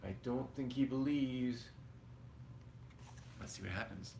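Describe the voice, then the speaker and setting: A man's voice: medium pitch, slow, gravelly, noisy background. A man reading a storybook aloud to children expressively, with simple asides explaining pictures and words.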